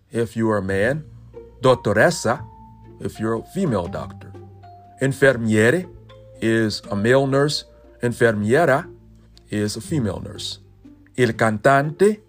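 A man hosting a podcast lesson, slowly saying everyday phrases and repeating each one twice. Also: mid-range voice. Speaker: podcast host teaching Italian phrases. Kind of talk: solo language lesson podcast.

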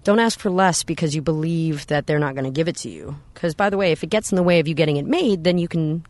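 A woman in her thirties with a low voice, talking bluntly and candidly as a guest in a casual chat, swearing freely. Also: fast, darkish tone, dry recording.

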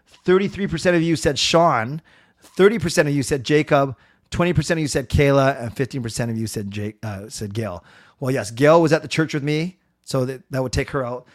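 Loud middle-aged guy rambling about hockey on his stream, lots of ums. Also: medium pitch. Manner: chatty and rambling, many fillers and restarts. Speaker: livestream host. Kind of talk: casual hockey livestream commentary.

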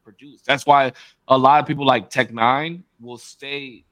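A man's voice: mid-range voice, fairly even intonation, measured pace, fairly bright tone, no echo. A man co-hosting a podcast, talking loosely and profanely, rambling and restarting mid-sentence. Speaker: podcast co-host. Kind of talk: casual chat.

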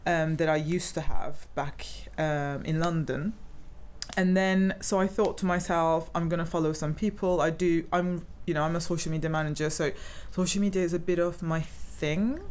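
A woman's voice: low-pitched, steady tone, moderate pace, a little mellow, slight background noise. A young woman from Turkey, a designer, chatting as a podcast guest, rambling and laughing often. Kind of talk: casual interview chat.

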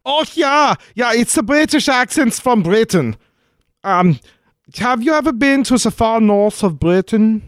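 Eager high-voiced knight, dubious accent. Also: male voice, animated, a little slow, no echo, studio quality. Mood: evasive, chummy. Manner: broken grammar, evasive, over-friendly. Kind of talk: 1940s-style radio play acting.

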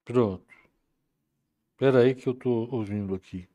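A man hosting a casual live market commentary, relaxed and chatty, reading viewer comments aloud. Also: mid-range voice, unhurried. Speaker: market commentary livestream host.

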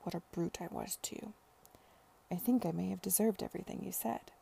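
A woman voicing a tender, earnest male suitor in a period romance audiobook, speaking in a hushed, intimate manner. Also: on the low side, fairly even intonation, a little fast, creaky, breathy edge, exaggerated voice.

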